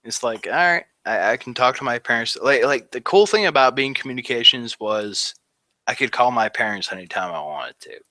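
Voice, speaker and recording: A man's voice: mid-range voice, expressive, normal speed, pushed and bright, no echo. A man in his thirties, a veteran, telling his war story in a rambling, casual way with lots of fillers. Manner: rambling, many "like" fillers, laughs at grim moments. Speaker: Army veteran guest. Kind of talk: casual interview talk.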